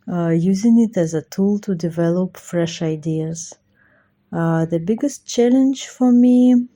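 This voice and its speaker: A woman, a ceramic artist speaking slowly and carefully about her work, with hesitant fillers. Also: lowish voice, dark tone. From Russia. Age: 40s.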